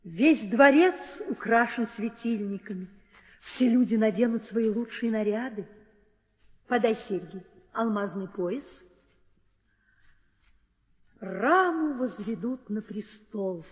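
Proud queen, imperious and theatrical, measured delivery, vintage radio play with long reverb. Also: fairly high pitch. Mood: happy. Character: proud, happy queen, beloved wife of a king. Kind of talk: 1960s Russian radio drama acting.

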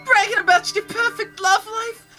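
A woman voicing a brash, foul-mouthed, easily flustered teenage girl, whiny and shrill, in a game fan dub. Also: fairly even intonation, moderate pace, pushed and bright, soft background music. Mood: outraged, whiny.

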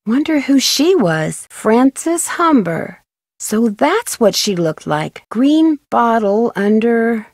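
A woman voicing an inquisitive, upbeat young female detective in an adventure game, chirpy and expressive as she thinks aloud. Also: on the high side, slow pace, no room sound, clean studio sound, heavily acted. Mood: musing, curious. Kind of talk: scripted adventure game voice acting.

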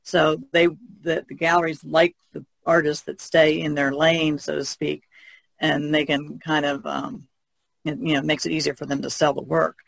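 A woman's voice: sits low, level tone, normal speed, gravelly, dry recording, rough recording. A woman speaking as a podcast co-host in a casual, conversational discussion.

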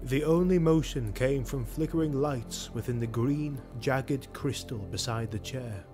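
An actor narrating a sword-and-sorcery audio drama as a solemn storyteller, measured and literary. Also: male voice, medium pitch, music in the background, close to an everyday voice.

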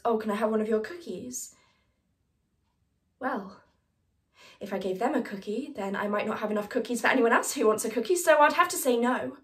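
A woman's voice: mid-range voice, vocal fry, a little mellow. A woman playing a primly defensive young woman in a comic monologue, chatty and emphatic. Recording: roomy, echoing room sound.

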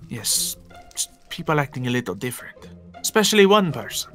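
A man's voice: mid-range voice, sing-song, brisk, sharp tone, faint music behind. A man playing an anxious, suspicious guild mentor in a game roleplay, with hesitant, formal, theatrical phrasing. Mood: worried, hurt. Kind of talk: improvised Minecraft roleplay acting.

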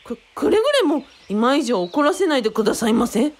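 A woman playing an excitable, vain male cult leader with theatrical, fawning politeness in a radio drama. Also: fairly high pitch, fast. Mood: flustered, pleading.

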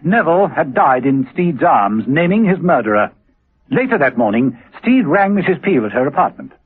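Male narrator, dramatic radio serial, lively intonation, thin vintage radio sound. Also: fairly high pitch, moderate pace, husky. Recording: lo-fi old radio recording.

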